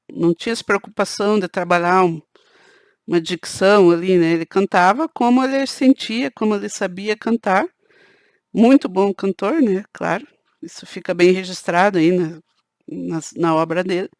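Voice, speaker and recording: A woman's voice: mid-range voice, no echo, studio quality. Woman with a southern Brazilian caipira accent. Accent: Brazilian Portuguese, southern countryside (caipira). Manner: conversational, peppers sentences with "né". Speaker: channel host. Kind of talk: casual talk to camera.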